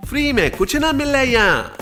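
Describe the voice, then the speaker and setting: A man's voice: very high voice, big pitch swings, moderate pace, bright, forceful tone, faint music behind, heavily acted. A man voicing a bossy, mocking cartoon doctor with a Haryanvi accent and a blunt, scolding manner. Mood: gruffly mocking. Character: brusque, bossy, mocking male hospital doctor. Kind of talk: Hindi cartoon story dubbing.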